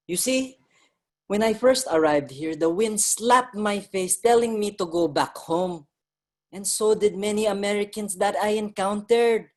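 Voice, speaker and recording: The restless ghost of an immigrant writer played by a man tells his story in a staged monologue. He speaks slowly, addressing the listener directly and drawing them in. Filipino words slip into his speech. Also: sits high, a little mellow. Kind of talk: theatrical monologue in a staged reading.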